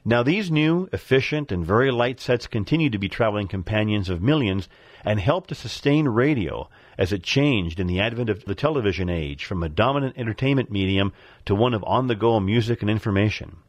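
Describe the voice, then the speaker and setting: Low-voiced man reading nostalgic narration. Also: lively intonation, a little fast, no echo. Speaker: radio show contributor on vintage radios.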